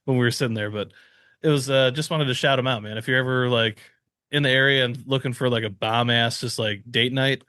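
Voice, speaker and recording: An American man with a mid-pitched voice chats loosely as a podcast host. He tells stories in a blunt, sweary way. The sound is dry and free of room. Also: fairly even intonation, fairly quick, pushed and bright, no echo. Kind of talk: casual chat among friends.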